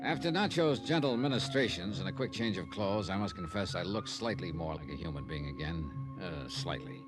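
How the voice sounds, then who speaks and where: An actor playing a tough, wry American investigator, narrating drily in an old-time radio drama. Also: male voice, sits low, animated, brisk, poor audio. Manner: dry, sardonic. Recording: vintage radio broadcast, music behind.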